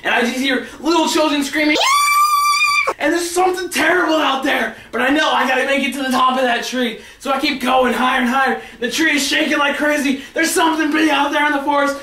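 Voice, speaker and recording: A male storyteller with a notably high voice, heard from a distance in an echoey room. He rambles through comic tales with excitable, dramatic build-ups. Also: a little hiss or hum. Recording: roomy echo, far from the mic.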